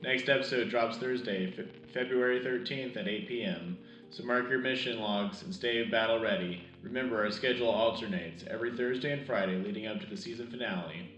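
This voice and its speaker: A man's voice: fairly low pitch, fairly quick, few pauses, fairly bright tone, reverberant, natural voice, low-quality recording. A man playing an earnest, patriotic host of a sci-fi wartime radio news show, with a formal announcer delivery. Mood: rousing, earnest. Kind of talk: scripted wartime radio broadcast.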